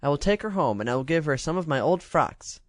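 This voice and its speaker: A man's voice: a little high, expressive, a little fast, no echo, clean studio sound, voice pushed up, natural voice. A man voicing a spoiled, vain little princess who talks in a haughty, self-satisfied way.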